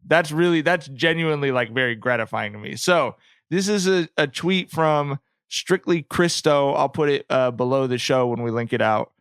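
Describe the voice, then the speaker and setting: A man's voice: medium pitch, normal speed, a bit bright, clear, resonant tone, dry recording. A man hosting a podcast in casual, comedic chat, with wry and profane humour. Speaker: podcast host.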